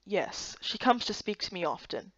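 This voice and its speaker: Lady putting on a quiet guy in a game, lowered voice, terse and blunt, bit muffled. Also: lively intonation, normal speed, no room sound. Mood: flat, unhelpful. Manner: terse, subdued.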